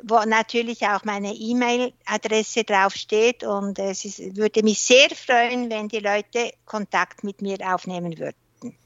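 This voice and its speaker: A Swiss woman with a Swiss German accent, a guest researcher speaking German as a non-first language, who often pauses and searches for words. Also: mid-range voice, steady tone, no room sound, dull audio. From Switzerland. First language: not German; works mainly in French and English. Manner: thoughtful, searches for words, frequent hesitations. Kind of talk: interview conversation.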